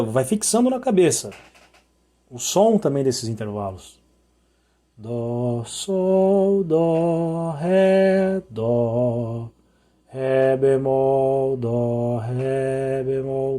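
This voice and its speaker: A hoarse man teaching musical intervals, singing note names to demonstrate them and checking in often with short questions. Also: mid-range voice, extremely slow, dark tone. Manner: sings interval notes to show them. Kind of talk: sung and spoken music lesson.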